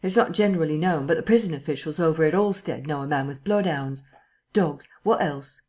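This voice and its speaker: A woman reader voicing a decisive police chief, a man who speaks in brisk, clipped orders. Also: deep voice, darkish tone, natural voice.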